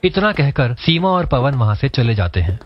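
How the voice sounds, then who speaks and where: A man's voice: medium pitch, very animated, moderate pace, muffled recording, slight background noise. A man reading scripted storytelling narration for a cartoon moral tale.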